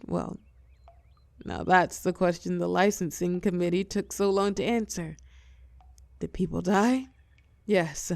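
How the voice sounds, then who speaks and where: Woman acting as a weary female supervillain, lowish voice, gravelly fry, tender yet menacing, intimate audio roleplay, dramatic pauses. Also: darkish tone, dry recording. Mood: bitter, wry. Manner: soothing pet names, wry bitterness, dramatic pauses, drops into whispers.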